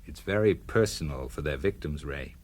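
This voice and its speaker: Low-voiced, resolute American senator. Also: male voice, expressive, normal speed. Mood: cold resolve. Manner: firm, cool, uncompromising. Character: resolute American senator, principled, hard-edged adult man. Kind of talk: radio drama acting.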